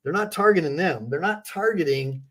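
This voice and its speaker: A man's voice: fairly high pitch, normal speed, a bit bright, slight background noise. A man who is an attorney, hosting a casual livestream and talking straight to his viewers, repeating points emphatically with fillers and restarts. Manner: talks straight to viewers, reads chat aloud.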